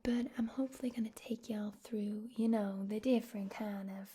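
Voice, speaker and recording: A woman from Scotland whispering softly into a close microphone, trying out other accents as she chats in an ASMR video. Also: medium pitch, steady tone, normal speed, husky. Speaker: ASMR creator.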